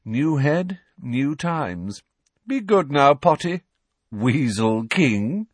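A man's voice: mid-range voice, big pitch swings, extremely slow, slightly rough, no echo, voice pushed up, full character voice. A spiteful schoolboy bully from a storybook drawls and sneers in a raised, swooping voice, acted by a man reading aloud. Every word carries smug malice. The sound is close and dry. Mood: sneering drawl. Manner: drawling, taunting. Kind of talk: audiobook character reading.

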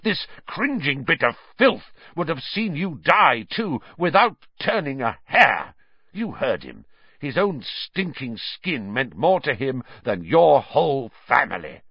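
A bitter, vengeful man, acted by a male narrator, harsh and snarling. His accusations swing widely in pitch with forceful emphasis, in the heated manner of a storybook drama, on a close, dry recording. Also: very animated, unhurried, vocal fry, slightly rough, no echo, muffled recording, heavily acted.

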